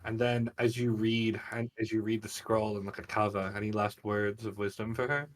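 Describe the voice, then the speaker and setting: A man with a fairly low voice runs a tabletop fantasy game as game master. He narrates scenes to his players and the stream audience. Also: level tone, moderate pace.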